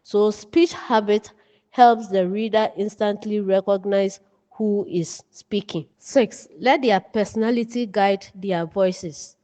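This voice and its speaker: A woman with a Ghanaian accent giving an instructional lecture as a writing teacher, in deliberate, emphatic, measured phrases. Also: mid-range voice, steady tone, warm tone. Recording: dull, muffled, long room reverb.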